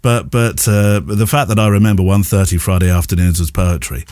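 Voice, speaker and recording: A man's voice: low-pitched, steady tone, brisk, a little mellow, no room sound, studio quality. A man hosting a podcast in a casual, rambling, enthusiastic and self-deprecating way. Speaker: podcast host. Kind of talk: casual chatty podcast presenting.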